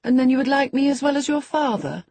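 A woman's voice: mid-range voice, expressive, measured pace, a little mellow, breathy edge, dry recording, pitched above the performer's own voice. A woman voicing a peevish, sickly teenage boy in an audiobook story, whining and self-pitying. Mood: hopeful. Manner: whiny, taunting, self-pitying.

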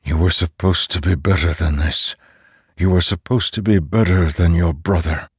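A man's voice: sits low, takes it slowly, darkish tone, slightly rough, dry recording, clean studio sound, heavily acted. A man voicing an ancient godlike storm spirit in a fantasy audiobook, stern, imperious and accusatory. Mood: disappointed, reproachful. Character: thundering, ancient, godlike storm spirit, male, domineering and disapproving. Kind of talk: dramatic fantasy audiobook character.

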